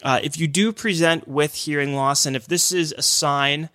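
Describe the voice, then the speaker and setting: An earnest man who works with hearing patients gives an encouraging podcast explainer. His voice sits a little high for a man. The sound is dry and close, with no room echo. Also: fairly even intonation, normal speed, sharp tone. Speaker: hearing care professional. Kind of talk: educational podcast talk.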